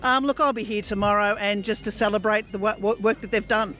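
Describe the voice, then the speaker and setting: An Australian woman, a local mayor, giving an informal, slightly halting interview on location. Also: mid-range voice, fairly even intonation, a bit bright. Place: outdoors at a community fundraising event.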